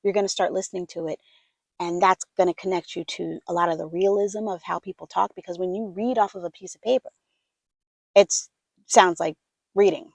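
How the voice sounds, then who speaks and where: A soft-spoken woman giving casual advice as a voiceover coach, chatty and easygoing. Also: lowish voice, fairly even intonation, fairly quick, creaky, no room sound. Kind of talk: casual instructional talk to camera.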